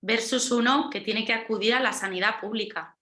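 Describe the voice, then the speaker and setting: A woman's voice: fairly high pitch, normal speed, bright, forceful tone, roomy sound. A woman on a webinar panel explaining her points, often closing them with a questioning tag.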